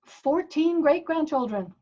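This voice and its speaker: A woman's voice: high voice, animated, a little slow, warm tone. A woman playing a naive, sheltered, wistful nun in an earnest comedic table read. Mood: flustered, prim. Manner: innocent, earnest, easily scandalized. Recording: dull, lo-fi video call audio.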